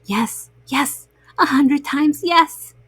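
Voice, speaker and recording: A woman's voice: high-pitched, extremely slow, fairly bright tone, no room sound, heavily acted. A woman voicing a friendly, earnest skunk in a children's bedtime story, keen to please. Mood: eager. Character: eager, friendly, apologetic young skunk.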